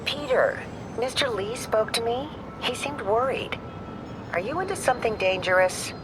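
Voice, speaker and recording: An actress voicing an older, caring aunt who speaks gently and affectionately. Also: female voice, a little high, expressive, normal speed, background noise, natural voice.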